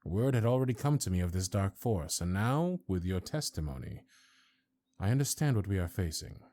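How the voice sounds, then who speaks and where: Stern old fantasy high lord played by a man, very dark mellow voice, sweeping intonation, formal and haughty. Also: sing-song. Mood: grave, commanding. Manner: formal, archaic, haughty and deliberate. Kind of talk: fantasy audio roleplay acting.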